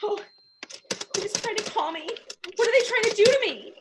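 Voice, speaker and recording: A woman's voice: extremely high pitch, expressive, moderate pace, heavy background noise. A woman playing a nervous, demanding bedridden invalid in a radio play, fretful, indignant and melodramatic. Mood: frantic, panicky.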